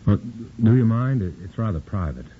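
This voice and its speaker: A man's voice: lowish voice, lively intonation, brisk, natural voice. Undercover agent male actor, 1950s radio drama: clipped, urgent delivery, muffled and lo-fi with heavy background noise. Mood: tense, urgent. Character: tense undercover agent posing as a party man. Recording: noisy, muffled old radio recording.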